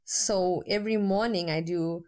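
A woman speaks as a podcast guest. She is a university lecturer with a fairly low voice. She talks candidly and fills her pauses with ums and uhs. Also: fairly even intonation, darkish tone. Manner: candid, with frequent fillers. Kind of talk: interview guest.

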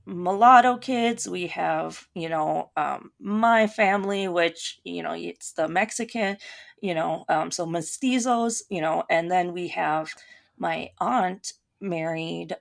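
A woman's voice: lowish voice, slow pace, vocal fry. A woman from Minnesota with a Minnesota accent, a podcast guest talking casually and thoughtfully, with many ums and restarts. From Minnesota, USA. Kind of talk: casual conversation.

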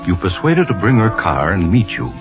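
Ominous narrator, low voice, sweeping intonation, insinuating, vintage suspense radio. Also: male voice, big pitch swings. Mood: ominous, knowing. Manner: dramatic, insinuating, deliberate emphasis. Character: sinister, knowing male storyteller addressing the culprit. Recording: muffled old radio recording. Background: loud dramatic music.

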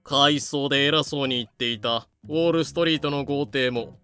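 A haughty male governor acted by a man with a very bright, forceful, mid-pitched voice. He is a pompous, sardonic schemer in a comedy audio drama, with music behind him. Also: normal speed, lightly acted. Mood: mocking.

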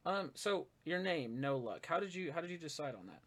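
A man's voice: a little high, very animated, brisk, a bit bright. A man hosting a casual interview for his channel, chatty and supportive, with lots of fillers. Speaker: interviewer, YouTube channel host.

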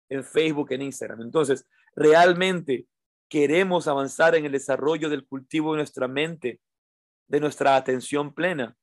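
A man with a slightly high voice speaks as a meditation teacher. He is informal and didactic, repeating key phrases for emphasis, and the sound is fairly dry and close. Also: no echo. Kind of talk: informal spoken teaching to an online audience.

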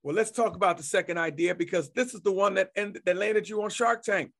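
A male podcast host with a somewhat high voice keeps cheering on his guest. He affirms often and guides the chat with loose, friendly questions. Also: a little fast, gravelly, a bit bright. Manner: encouraging, frequent affirmations. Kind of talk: casual interview.

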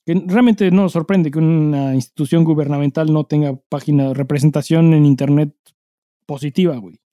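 Slangy Mexican man with a mellow, dry sound. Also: on the high side, steady tone, brisk, no echo. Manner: slangy, profane, emphatic. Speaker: podcast co-host. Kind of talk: casual chat.

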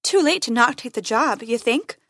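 A woman voicing a bold, wry female space ranger with a brisk, confident manner in a science fiction story. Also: fairly high pitch, expressive, fairly bright tone, soft background music.

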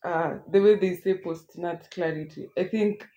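A woman's voice: on the low side, moderate pace, dark tone, dull audio. Teasing young Kenyan woman podcaster. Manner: teasing, laughs often, mixes English and Swahili. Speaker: podcast co-host. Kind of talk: casual banter.

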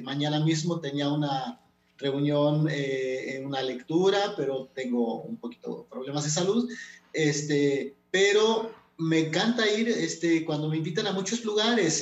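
Man speaking over echoey lo-fi audio. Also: a little high. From Mexico. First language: Totonac. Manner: earnest, hesitant, many fillers. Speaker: guest poet. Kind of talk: remote interview, reflective.

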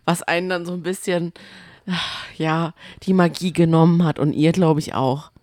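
A woman's voice: lowish voice, steady tone, moderate pace, darkish tone, dry recording, studio quality. A woman, chatting casually as a podcast co-host about reality TV.

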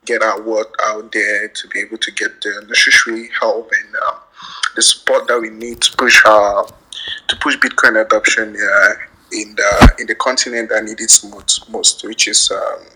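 A man's voice: lowish voice, sharp tone, slightly rough, rough recording. A man in his thirties from Cameroon, a guest introducing himself in a casual live audio chat, earnest with frequent fillers. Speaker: guest speaker, creator.

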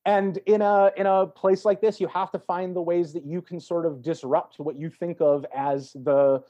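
A man speaking as an interview guest, an actor talking in a thoughtful, reflective way with measured phrasing and emphatic swells. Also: sits high, steady tone, warm tone, lo-fi sound. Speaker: podcast guest, stage and voice actor.

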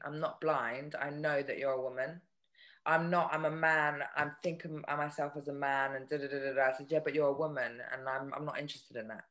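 A woman with a husky voice telling a dating story in casual chat, full of restarts. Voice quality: husky, lower voice. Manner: animated, hesitant restarts.

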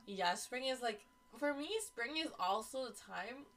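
Giggly young woman with a lively, high-ish voice. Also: measured pace. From Canada. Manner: giggly, chatty, says "like" often. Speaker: podcast co-host. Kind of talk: casual chat.

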